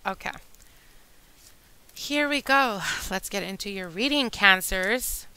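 A woman reading tarot cards for her channel, chatty and addressing viewers directly, her voice a little hoarse from a long day. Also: mid-range voice, takes it slowly, poor audio. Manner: chatty, warm address to viewers, whispers during shuffling. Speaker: tarot reader. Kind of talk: casual tarot reading monologue. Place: indoor table with candles and decor.

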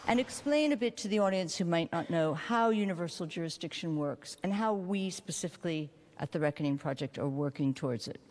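A woman in her forties moderating a conference panel with a handheld mic, lively and joking between questions. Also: on the low side, a little fast, hardly any pauses. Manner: lively, chatty, joking asides. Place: large conference hall with audience. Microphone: handheld microphone. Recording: long hall reverb.

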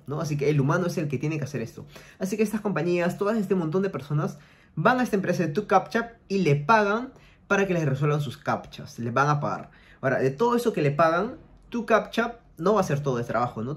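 A young man hosting a casual screen-share tutorial, chatty and explaining each step with filler tags, recorded in a small room at home. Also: on the high side. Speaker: online money tutorial host.

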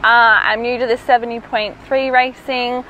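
A woman's voice: fairly high pitch, flat delivery, normal speed, bright, forceful tone, a little hiss or hum. A woman, cheerfully introducing herself beside an outdoor pool. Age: 20s. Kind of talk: brief self-introduction.

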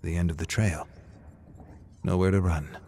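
A man's voice: deep voice, steady tone, normal speed, darkish tone, a little hiss or hum. A man reading a scripted story aloud as a narrator, with theatrical, suspenseful delivery. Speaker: narrator reading game lore.

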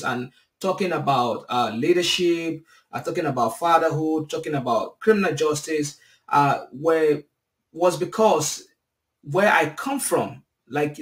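A man's voice: a little high, fairly bright tone, recorded from a distance. Earnest male host on a webcam, set back from the mic in an echoey room. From Nigeria. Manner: earnest, gestures while talking. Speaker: podcast host. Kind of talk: interview podcast. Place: home room, indoors. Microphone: video call webcam.

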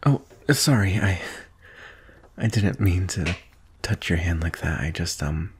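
A young man playing a shy, adoring best friend in an intimate boyfriend ASMR roleplay, speaking softly and hesitantly. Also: low voice, lively intonation, a little slow, exaggerated voice. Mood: flustered, nervous. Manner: hesitant, soft-spoken, breathy sighs and nervous chuckles. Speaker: audio roleplay performer.

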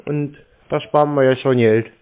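A man speaks in a laconic, casual way, as if he has no clue what is being asked. His pitch is average, and the sound is narrow and muffled like a telephone recording. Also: fairly even intonation, normal speed. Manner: terse, laconic, playing dumb. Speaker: YouTuber taking scam calls. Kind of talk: casual phone chat, scambaiting prank calls. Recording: dull, muffled phone call audio.

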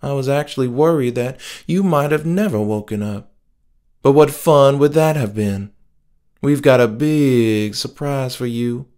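A man's voice: mid-range voice, measured pace, a little mellow, clear, resonant tone, voice pushed up, lightly acted. A man voicing an alluring, dangerous cultist woman, teasing and coolly threatening, in a horror audiobook. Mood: mocking.

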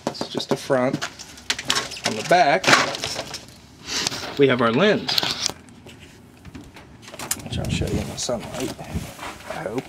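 A young man with a stuffy, nasal voice talks casually as he works with his hands on a porch. His pitch sits in the middle range. The handheld camera audio carries clear background noise from outdoors. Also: animated, takes it slowly, pauses often. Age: young adult. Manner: casual and chatty, explaining hands-on while handling gear. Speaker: DIY video maker. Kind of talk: casual how-to vlog. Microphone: handheld camera mic.

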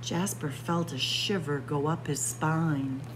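A woman librarian reading a picture book aloud with dramatic, expressive storytelling and spooky whispers, in a library. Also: low-pitched, slow, a little hiss or hum, low-quality recording. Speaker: children's librarian. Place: library with bookshelves.

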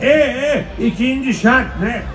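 A man's voice: very high-pitched, slow pace, fairly bright tone, a faint crowd, exaggerated voice. A man playing a short, grumpy, bossy gang leader in a theatrical children's fairy-tale stage show. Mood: impatient, bossy. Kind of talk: children's stage musical acting. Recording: echoey hall stage sound with music.

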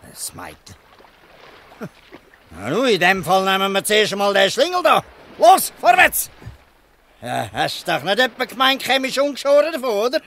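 A man playing a gruff, shrewd old fisherman who scolds in Swiss German in a radio play. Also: high voice, wildly swooping pitch, measured pace, pauses often, sharp tone, a little hiss or hum, exaggerated voice. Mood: scolding, gloating. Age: older. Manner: blustering, ends taunts with a questioning "hä". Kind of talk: dialect radio play acting.

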